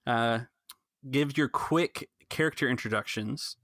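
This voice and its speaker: Male game master and narrator for an actual-play podcast, average pitch, very dry room. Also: a little slow, fairly bright tone, no room sound. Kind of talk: scripted story narration for a tabletop game.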